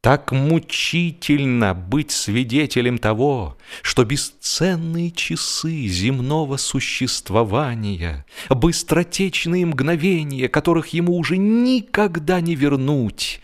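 A man reading humorous fiction as an audiobook narrator, in an expressive, dramatic storytelling manner. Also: a little high, takes it slowly, hardly any pauses. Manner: expressive, acts out the dialogue.

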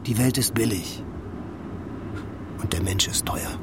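An actor playing a blunt, lovelorn construction worker in a German radio drama, with poetic, declamatory delivery. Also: male voice, fairly low pitch, a little slow, background noise, close to an everyday voice. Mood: bitter, blunt.

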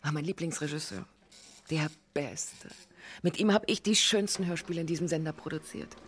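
An actress playing a harried, pushy radio editor with a familiar, bossy manner in naturalistic radio drama dialogue. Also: female voice, low-pitched, normal speed, husky. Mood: businesslike, pushy. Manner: familiar, bossy, interrupts themself.

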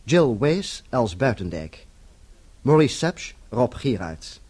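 A man announcing radio credits in a formal, deliberate, scripted style, with a husky voice. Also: medium pitch, sing-song, darkish tone.

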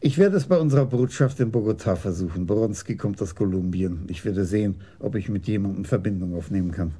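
An actor playing a methodical, commanding police chief in a German radio crime drama, speaking in a deliberate, formal way. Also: male voice, fairly low pitch, warm tone, husky. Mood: methodical, businesslike.

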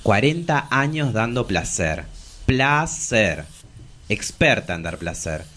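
Man playing a low, swooping weary travesti poet. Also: sing-song, slow, bright, forceful tone, exaggerated voice. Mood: weary, bitter. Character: weary, bawdy travesti poet in their forties, melancholic. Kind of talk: radio drama dialogue and monologue.

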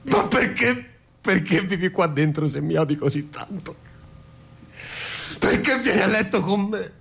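An actor playing a wounded, volatile husband in a theatrical radio drama, insistent and emotionally heated. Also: male voice, sits high, lively intonation, unhurried, vocal fry, a bit bright, husky, heavily acted. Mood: furious, spiteful. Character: wounded, volatile husband, pleading then spiteful. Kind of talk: theatrical stage-play acting for radio.

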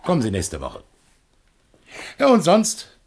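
A man's voice: a little high, huge pitch swings, slow, darkish tone, full character voice. An actor playing an evasive, talkative shopkeeper in a German radio play, chatty and patronizing as he placates a customer.

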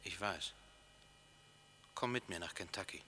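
An actor playing a brooding, dreamy lover in a German radio play, intimate and lyrical, often close to a whisper. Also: male voice, low voice, a little slow, pushed and bright, slight background noise. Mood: melancholy, resigned. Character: brooding, dreamy male lover speaking in dark poetic images. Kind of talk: German radio play acting, poetic and hushed.

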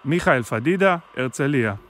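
A man's voice: medium pitch, animated, a little slow, a bit bright, slight background noise. An actor voicing a courteous male radio listener, reading out an earnest letter of complaint in a formal, polite way.

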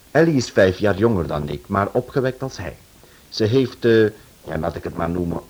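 A man's voice: on the low side, slightly rough. A man, a radio director giving a scripted introduction to a drama series.

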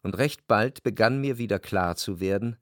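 An actor playing an introspective, doubting gentleman who narrates his love in a literary radio drama. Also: on the low side, moderate pace, dry recording, studio quality, close to an everyday voice. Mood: brooding. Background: music.

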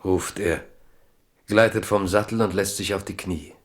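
A man narrating a 1970s German radio drama in a measured, dramatic, literary storytelling style. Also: deep voice, fairly even intonation.

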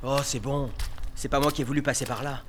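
A man's voice: medium pitch, steady tone, fairly quick, noisy background, lightly acted. A man playing a weary, complaining rookie resistance fighter in an audio drama, with a defensive manner. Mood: fed up, defensive.

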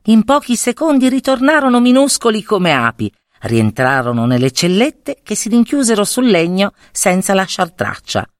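A woman reading a fairy tale aloud as storyteller, with expressive storybook delivery. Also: mid-range voice, moderate pace, few pauses, no echo, clean studio sound.

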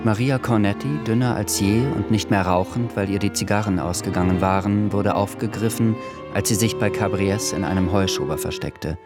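An actor playing a sober, grave narrator of a historical radio drama, telling it in a measured way. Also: male voice, on the low side, steady tone, loud music behind the voice, natural voice.

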